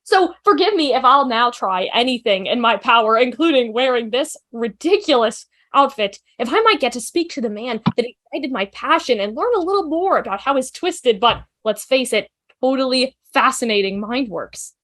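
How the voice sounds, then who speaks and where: Outspoken, nervy young female student for TV drama table read, played by a woman; very high, animated, theatrical delivery. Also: normal speed. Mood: impassioned. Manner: rambling, emphatic, theatrical.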